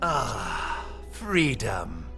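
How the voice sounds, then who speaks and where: A bouncy sarcastic clown girl, swoops up and down, drawls slow, music behind. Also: extremely low pitch, very animated, exaggerated voice. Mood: mocking. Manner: sassy, mocking, sing-song. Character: giddy, sarcastic female clown-girl sidekick. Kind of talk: video game cutscene acting.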